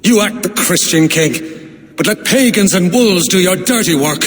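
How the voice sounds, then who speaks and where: An actor playing a defiant, taunting pagan cult leader posing as an abbot in a video game, sermonizing slyly. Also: male voice, high-pitched, expressive, unhurried, a bit bright. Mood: mocking defiance. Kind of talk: dramatic video game cutscene acting. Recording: long echoing hall, faint background noise.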